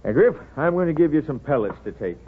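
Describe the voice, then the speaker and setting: An actor playing a wise, wry small-town family doctor in a 1930s radio drama, an American with a folksy, firm and reassuring manner. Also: male voice, on the high side, big pitch swings, a little hiss or hum. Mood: firm, kindly. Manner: folksy, firm, unhurried reassurance with dry humour. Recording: tinny, narrow 1930s radio recording.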